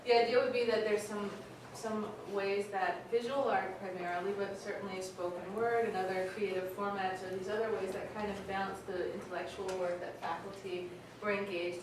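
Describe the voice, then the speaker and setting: A woman's voice: mid-range voice, steady tone, fairly quick. A woman giving an informal introductory speech to an audience as the hosting director of a cultural center. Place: indoor cultural center room. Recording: echoey, noisy room from far off. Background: room noise.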